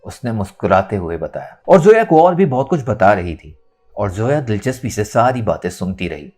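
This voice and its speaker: A man narrating a dramatic novel in an expressive storytelling manner. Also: on the low side, normal speed, soft background music. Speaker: audiobook narrator.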